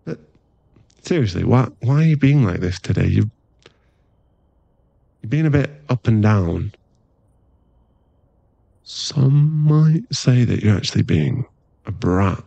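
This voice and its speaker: Teasing, mock-offended boyfriend, acted by a man for intimate audio roleplay; mellow low voice, slightly muffled close recording. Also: animated, creaky, no echo, full character voice. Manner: teasing, halting, intimate one-sided chat.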